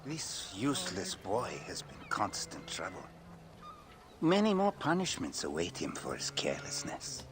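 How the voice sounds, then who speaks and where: Haughty cruel priest, wildly swooping voice. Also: male voice, low-pitched, wildly swooping pitch, unhurried, background noise, heavily acted. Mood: scornful. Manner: imperious, scornful. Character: cruel, haughty masked Egyptian temple priest. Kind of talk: dramatic video game acting.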